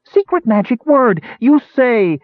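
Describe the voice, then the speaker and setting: Bossy little female gully dwarf for a fantasy story, high and shrieky, broken grammar; played by a man. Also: wildly swooping pitch, normal speed, dry recording, narrow, tinny audio, heavily acted. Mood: outraged.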